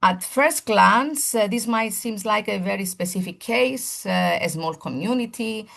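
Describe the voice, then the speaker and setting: A woman's voice: mid-range voice, a little slow, vocal fry, a bit bright. A woman, a primary school teacher, giving a seminar presentation in formal lecture phrasing, earnest and often restarting as she searches for words.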